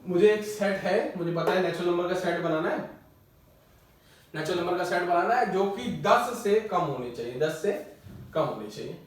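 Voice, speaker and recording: A man's voice: high-pitched, lively intonation, normal speed, slight background noise. A man teaching a maths lesson, explaining patiently with everyday examples and repeating the key words. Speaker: maths tutor. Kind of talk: classroom lecture. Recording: echoey room, mic far off.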